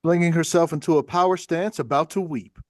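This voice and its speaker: Male narrator, slightly high voice, stage directions, scripted play reading, dry room sound. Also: fairly even intonation, fairly quick, no room sound.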